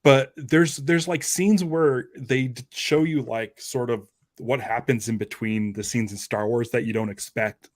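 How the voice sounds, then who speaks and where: A man hosting a podcast in an easy conversational style. His voice sits at an average pitch and is recorded dry, with hardly any room sound. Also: fairly even intonation, a little slow, few pauses, no room sound. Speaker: podcast host. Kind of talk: casual podcast chat.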